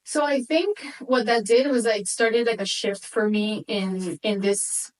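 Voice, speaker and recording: Distant-miked woman, casual animated storyteller. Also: a little high, measured pace. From Venezuela, moved to the United States at eight. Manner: animated, informal, peppered with Spanish words. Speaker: interview guest.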